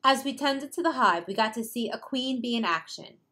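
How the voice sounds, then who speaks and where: A young woman hosting a travel show, narrating with bright enthusiasm. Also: a little high, expressive, moderate pace. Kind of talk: upbeat presenter narration. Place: outdoors on a farm.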